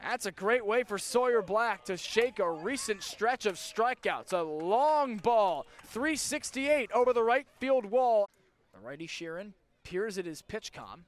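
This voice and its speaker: A male baseball broadcaster with a very high-pitched voice delivers live radio play-by-play. He calls the game in punchy, clipped phrases, stretching words out as plays get bigger. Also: expressive. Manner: punchy clipped calls that build to drawn-out shouts on big plays.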